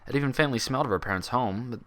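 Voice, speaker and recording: Male narrator, medium pitch, scripted fiction reading, expressive dramatic storytelling. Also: rapid-fire. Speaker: fan fiction reader.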